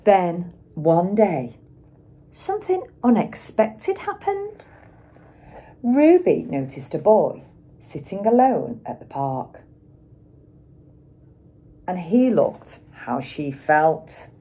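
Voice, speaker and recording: A middle-aged woman reads a children's picture book aloud like a teacher, with dramatic emphasis and pauses for young listeners. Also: on the low side, very animated, warm tone, husky. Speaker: schoolteacher reading aloud.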